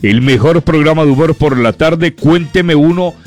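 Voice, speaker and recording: A man hosting a radio comedy show, chatting in a lively way and reading out listener greetings. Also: fairly high pitch, moderate pace, faint music behind. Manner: lively and chatty, breaks off and repeats words.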